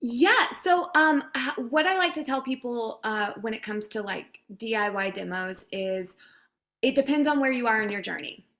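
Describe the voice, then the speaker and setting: A woman's voice: a little high, expressive, normal speed, fairly bright tone. A woman giving conversational advice in a Q&A, explaining things with everyday analogies. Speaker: guest voice demo producer.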